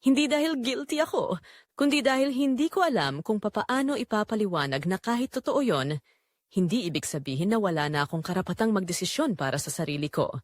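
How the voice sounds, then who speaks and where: Actress as sensual, restless Filipina wife confessing an affair; very animated pitch, dry close sound, audio drama narration. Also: female voice, brisk, no room sound, lightly acted. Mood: conflicted, resolute.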